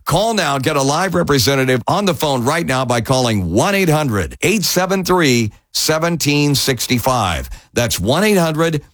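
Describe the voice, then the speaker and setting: A man hosting a radio infomercial, delivering an emphatic scripted sales pitch. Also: sing-song, measured pace, few pauses, fairly bright tone, dry recording.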